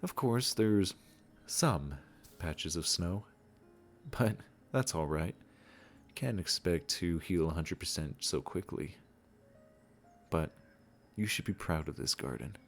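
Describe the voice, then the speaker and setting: A man playing a gentle, wise garden keeper in a comforting audio roleplay, speaking in a soothing, affectionate and encouraging way. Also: low voice, lively intonation, slow pace, pauses often, soft background music, full character voice. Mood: gentle, reassuring.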